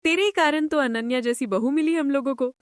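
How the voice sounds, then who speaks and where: A woman playing an affectionate, supportive middle-aged mother-in-law in an animated story, with an expressive, motherly delivery. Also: sits high, brisk, no echo, studio quality, natural voice. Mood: proud, teasing. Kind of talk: animated story drama acting.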